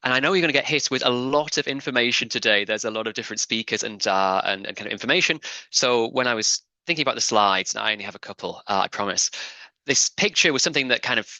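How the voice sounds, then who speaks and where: A man's voice: mid-range voice, fast talker, sharp tone, no echo, poor audio. A man from the UK with a British accent, presenting international resident services in a webinar, friendly and peppered with "kind of". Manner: friendly, with filler words like kind of. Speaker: international services staff member. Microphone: webinar call mic.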